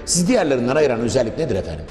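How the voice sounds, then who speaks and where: Fast-talking male interviewer in echoey room. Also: medium pitch, sing-song, darkish tone. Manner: wordy, formal, addresses viewers and guest politely. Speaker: interviewer, TV host. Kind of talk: formal promotional TV interview. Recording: echoey reverberant room, music behind. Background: background music.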